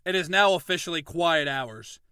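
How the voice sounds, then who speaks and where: An actor playing a stern prison guard in an audio drama, curt and authoritative. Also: male voice, a little high, lively intonation, moderate pace, pushed and bright, clear, resonant tone, no room sound, studio quality.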